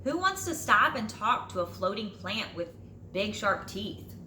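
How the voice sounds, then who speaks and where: A woman teacher reading a children's storybook aloud in an expressive, dramatic way with drawn-out phrases. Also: mid-range voice. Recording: roomy echo, light background noise.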